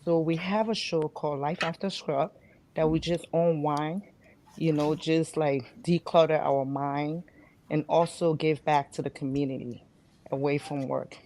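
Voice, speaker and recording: A woman's voice: low-pitched, steady tone, unhurried, dark tone, slight background noise. A middle-aged woman, giving conversational answers as an interview guest. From Liberia. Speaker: guest, reality show cast member and nurse.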